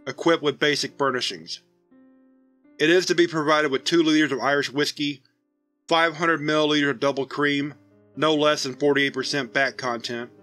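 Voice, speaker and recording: A man giving a clinical, measured narration of a formal scripted case file. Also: fairly high pitch, bright, forceful tone.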